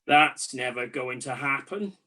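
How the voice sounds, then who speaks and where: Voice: mid-range voice, a little slow, sharp tone. A performer playing a bored, blunt Trojan soldier who teases a mate drily in a comic radio play. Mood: mocking. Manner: dry, mocking, matey banter.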